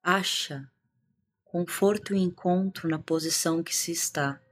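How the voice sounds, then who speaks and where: A Brazilian woman guiding a meditation in a calm, hushed manner with long pauses. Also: lowish voice. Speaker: meditation guide, integrative therapist. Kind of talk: guided meditation. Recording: music behind the voice. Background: meditation music.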